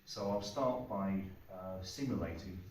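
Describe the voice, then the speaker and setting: A man with a low voice gives an informal technical talk, working through his points methodically. The room is very echoey and he is picked up from a distance, with faint noise behind him. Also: measured pace. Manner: methodical, explanatory. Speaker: speaker designer giving a talk. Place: hotel conference suite.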